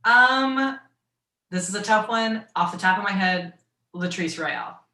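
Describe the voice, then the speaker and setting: A woman with a naturally low voice and a Midwestern accent, telling stories as a guest on a video call. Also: a little slow, pushed and bright, echoey room, recorded from a distance. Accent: American Midwestern. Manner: chatty storytelling, quoting others in asides. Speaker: guest voice actor and writer. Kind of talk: online fan Q and A.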